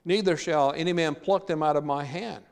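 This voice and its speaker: A man preaching a sermon in earnest, slowing down and drawing out key words for emphasis. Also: fairly high pitch, vocal fry. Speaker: pastor. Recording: long hall reverb.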